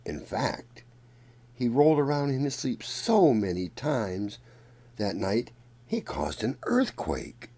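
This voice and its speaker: Male children's story narrator, unhurried and deliberate, with lively swings in pitch. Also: slightly rough. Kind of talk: read-aloud storybook narration.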